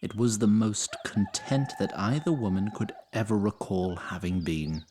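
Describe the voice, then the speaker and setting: A man's voice: fairly low pitch, measured pace, background noise. A man narrating a scripted fantasy story as a dry, literary storyteller.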